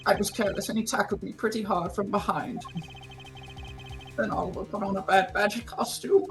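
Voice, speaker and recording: A woman's voice: medium pitch, steady tone, brisk, faint music behind, close to an everyday voice. A woman voicing a remorseful young woman making an earnest confession in a video game fan dub. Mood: earnest, regretful.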